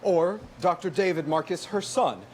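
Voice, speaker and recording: A man playing a formal, logical alien first officer with precise, deadpan delivery in a comic stage reading. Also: sits high, normal speed, natural voice. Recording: live stage reading, faint noise.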